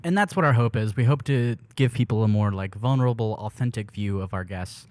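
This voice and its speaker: A man's voice: fairly low pitch, fairly quick, a little mellow, dry recording. A man co-hosting a podcast in easygoing, casual chat. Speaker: podcast co-host.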